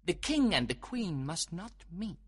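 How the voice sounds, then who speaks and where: A man reading a storybook, voicing a fun-loving male sprite servant with a raised, lively voice. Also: a little slow, breathy edge, full character voice. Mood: worried. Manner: lively, anxious, higher than his own voice.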